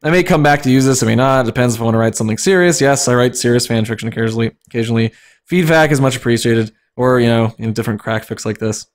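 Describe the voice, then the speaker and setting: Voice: medium pitch, very fast. A streamer giving an informal, amused dramatic reading of comic fan fiction, stumbling over the text now and then. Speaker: streamer and story reader.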